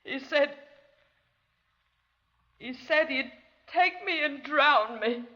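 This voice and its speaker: An actress playing a poor, frightened wife in 1950s radio drama, speaking in a plaintive, tearful way. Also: female voice, high-pitched, slow pace, sharp tone, exaggerated voice. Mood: tearful, distressed. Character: poor, shabby, frightened wife complaining to police. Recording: muffled 1950s radio recording.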